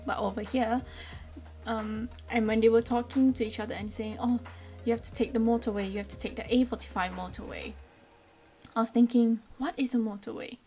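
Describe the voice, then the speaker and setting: A woman's voice: on the high side, fairly even intonation, fairly quick, mellow. A woman in her twenties from Malaysia with a Malaysian accent, explaining things in a chatty, friendly way with frequent ums. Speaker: vlogger. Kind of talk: casual explainer vlog.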